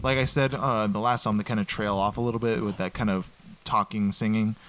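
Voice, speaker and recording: A man with a lowish voice co-hosts a casual music podcast. He chimes in with quick agreements and dry jokes. A faint hiss sits under his voice. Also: steady tone, a bit bright. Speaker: podcast co-host.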